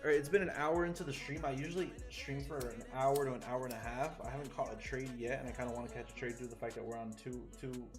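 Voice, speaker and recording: Excitable, foul-mouthed male livestreamer. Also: medium pitch, quick pace, few pauses. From Chile. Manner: excitable, profane, chatty with viewers. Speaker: livestreaming forex trader. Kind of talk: casual livestream commentary. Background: faint music.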